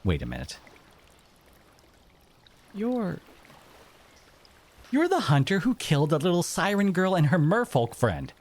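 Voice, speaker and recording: A man acting as a bitter exiled merfolk interrogator in an audio drama, speaking in a stern, accusing way with dramatic pauses. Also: high voice, huge pitch swings, a little mellow, full character voice. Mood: furious, appalled.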